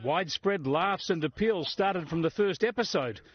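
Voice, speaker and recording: A man's voice: high voice, expressive, moderate pace, lo-fi sound. An Australian man narrating a scripted television feature as a reporter.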